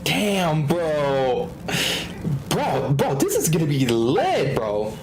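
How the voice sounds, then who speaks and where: A man's voice: a little high, sing-song, extremely slow, noisy background. A man livestreaming a video game, reacting in casual, slangy commentary. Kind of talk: casual live gaming commentary. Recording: game music behind the voice.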